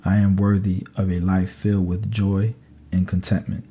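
A man narrating scripted sleep meditation affirmations in a calm, soothing, measured way. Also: sits low, slight background noise.

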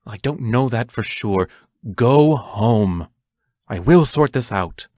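A woman's voice: a bass voice, animated, takes it slowly, dark tone, dry recording, clean studio sound, exaggerated voice. A woman playing a terse, protective, anguished teacher in an audio drama, giving firm commands. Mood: stern, urgent. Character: stern, protective, anguished adult teacher facing fairies.

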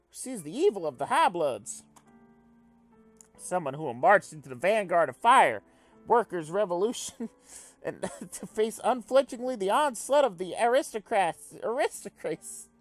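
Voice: mid-range voice, big pitch swings, moderate pace, no room sound, faint music behind, pitched above the performer's own voice, lightly acted. A reader voicing a zealous, bouncy male alien revolutionary who preaches in fervent speeches.

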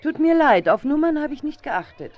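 A woman playing a brisk, friendly grown-up chaperone in a German children's radio play, matter-of-fact. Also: high voice, sing-song. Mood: practical. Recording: muffled 1970s radio play recording.

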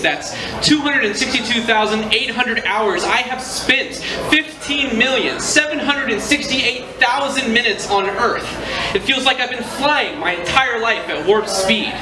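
A high-pitched man performs spoken word poetry at a small venue, moving from casual joking banter into earnest, rhythmic recitation. His voice rings around the room through a stand mic. Audience murmurs are heard behind him. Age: 30s. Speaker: slam poet. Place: small indoor venue stage with live audience. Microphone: stand mic, filmed from across the room. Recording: echoey room, audience noise.

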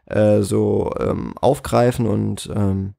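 A man in a casual interview as a guest, slightly croaky from a cold, speaking in a hesitant, reflective way. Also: lowish voice, steady tone, slow pace, dark tone, dry recording, studio quality. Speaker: interview guest, cinema manager.

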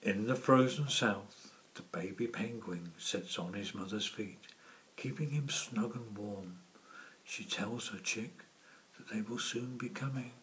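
A man reading a children's storybook in a hushed, measured manner. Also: lowish voice, low-quality recording. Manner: hushed, measured, often dropping to whispers. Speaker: author reading own story. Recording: faint background noise.

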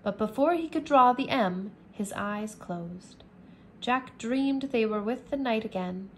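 A woman teacher reads a children's chapter book aloud at a very slow, deliberate pace. Her voice sits a little high and every word is clear. It sounds like a careful lesson read-aloud. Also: animated, a little hiss or hum. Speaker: English teacher. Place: indoors at a wooden table.

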